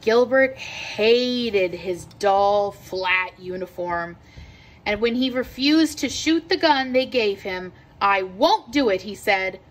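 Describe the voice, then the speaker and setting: A woman reading a children's picture book aloud with expressive, deliberate storytelling delivery. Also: mid-range voice, low-quality recording. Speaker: read-aloud teacher.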